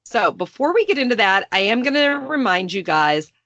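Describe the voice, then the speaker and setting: A woman's voice: mid-range voice, sing-song, normal speed, a bit bright, highs cut off. A woman in her late forties from Texas with a Texan accent, hosting a podcast in a chatty, playful way. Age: 40s. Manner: chatty, playful, self-deprecating. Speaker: podcast host. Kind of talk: casual podcast chat.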